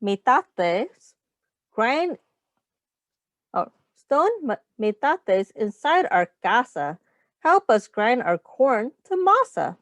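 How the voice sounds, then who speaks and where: Woman reading slowly with lively, swooping pitch. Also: dry recording. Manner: simple, explanatory, pausing to ask questions. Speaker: children's teacher reading a picture book aloud. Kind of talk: read-aloud storytime for young children.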